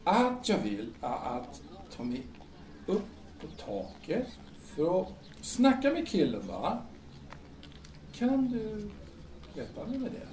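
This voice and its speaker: A man's voice: high voice, wildly swooping pitch, long pauses, darkish tone. A man playing an earnest, nervous passer-by in an audio drama, hesitant and pleading, stammering as he presses on. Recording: roomy, distant mic with noise.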